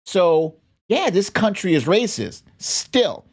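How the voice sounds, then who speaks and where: An American commentator delivers opinionated political talk at a slow pace. The pitch sits in the middle, and the manner is blunt, emphatic and indignant, as unscripted argument from a show host. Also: big pitch swings.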